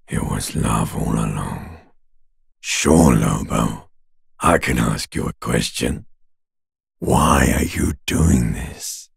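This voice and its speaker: A man voicing a bloated, bitter talking corpse in a video game, with a gravelly rasping growl and a slow, taunting, insinuating manner. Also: very deep voice, no room sound, clean studio sound, exaggerated voice. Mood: bleak, goading. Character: bloated talking male corpse, bitter, mocking, world-weary. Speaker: voice actor. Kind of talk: video game character voice lines.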